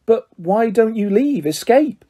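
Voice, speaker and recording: A man's voice: sits high, lively intonation, a little slow, voice pushed up. A man voicing a polite, nervous schoolboy wizard with a hesitant manner in a storybook reading. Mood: curious, alarmed. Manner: hesitant, hushed urgency.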